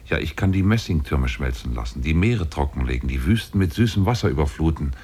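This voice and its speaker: An actor playing a powerful, lovestruck and possessive demon in a 1950s German radio play, speaking solemnly. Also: male voice, animated, a little fast, a little mellow, full character voice. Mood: boastful, solemn. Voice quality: very deep, husky.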